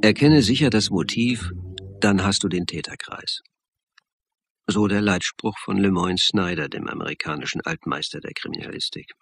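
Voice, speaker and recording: An actor playing a dry, sardonic police-college lecturer who also narrates a German radio crime drama. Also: male voice, fairly low pitch, creaky, fairly bright tone, slightly rough, full character voice. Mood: reflective, dry.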